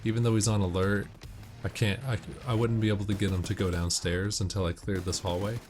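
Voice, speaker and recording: A man's voice: lowish voice, flat delivery, a little fast. A man giving casual, matter-of-fact walkthrough commentary on a video game, explaining tips as he plays. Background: video game audio with music and gunfire.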